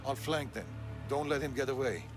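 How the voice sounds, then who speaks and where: An actor playing a serious, secretive warrior ally in a dramatic video game cutscene. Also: male voice, medium pitch, normal speed, music in the background, close to an everyday voice. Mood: terse, alert.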